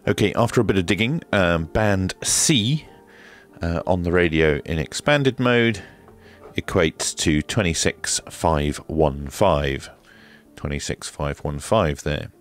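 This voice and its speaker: A man's voice: sits low, lively intonation, slow, soft background music. A man presenting a casual hands-on radio equipment demonstration, explaining step by step in a methodical, hesitant way.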